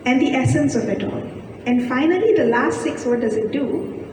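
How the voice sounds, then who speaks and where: Soft-spoken woman, slightly high voice, scripture commentator, heard from a distance in a reverberant hall with steady room noise. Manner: measured, explanatory, poses questions then answers them. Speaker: guest speaker giving scripture commentary. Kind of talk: spiritual discourse on scripture.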